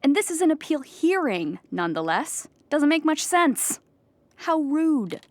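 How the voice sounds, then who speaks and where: An actress playing an anxious, childlike clown who rambles earnestly in a theatrical audio comedy monologue. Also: female voice, sits high, very animated, measured pace. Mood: indignant. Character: anxious, childlike clown pleading at an appeal hearing.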